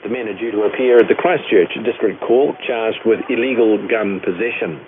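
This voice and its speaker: A man with a New Zealand accent presents a scripted news bulletin. His voice sits at a middle pitch. It comes through a narrow shortwave radio channel with heavy noise.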